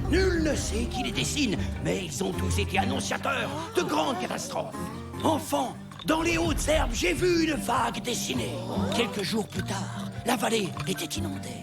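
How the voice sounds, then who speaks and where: A solemn cartoon chief with a crackling, gravelly edge. The delivery is commanding and deliberate, rising and falling dramatically. Loud music runs underneath. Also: high-pitched, exaggerated voice. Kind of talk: French animated series dub acting.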